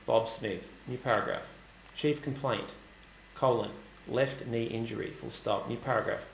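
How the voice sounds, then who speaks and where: A man dictating formal notes with spoken punctuation, heard as a played-back recording. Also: medium pitch. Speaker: author dictating a medical note. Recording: echoey dictation playback with faint noise.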